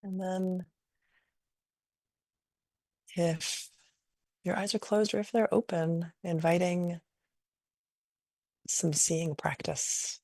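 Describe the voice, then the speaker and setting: A woman leading a guided meditation in a gentle, unhurried, invitational way, improvising softly and leaving long pauses. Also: lowish voice, level tone, dry recording. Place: online video call session.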